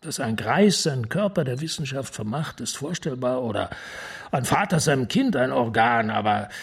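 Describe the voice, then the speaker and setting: An actor playing an urgent, persuasive police prefect in a radio crime drama, speaking formally with theatrical emphasis and pauses. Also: male voice, mid-range voice, lively intonation, vocal fry, husky, exaggerated voice. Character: urgent, smooth-tongued high police prefect, persuasive and secretive.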